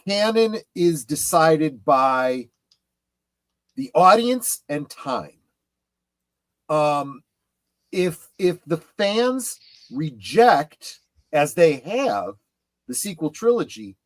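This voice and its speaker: A man speaks as a guest in a casual fan discussion. His voice is a little high for a man and his pace is measured. He states opinions emphatically, pausing between phrases, in a dry recording. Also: very animated, no room sound. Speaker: guest co-panelist on a fan show. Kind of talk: casual chat, opinionated commentary.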